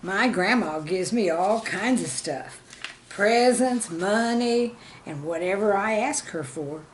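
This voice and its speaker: A woman's voice: mid-range voice, animated, takes it slowly, slight background noise. A woman storyteller voicing an earnest, cheeky schoolboy who explains his ideas emphatically and matter-of-factly. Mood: confident, advising.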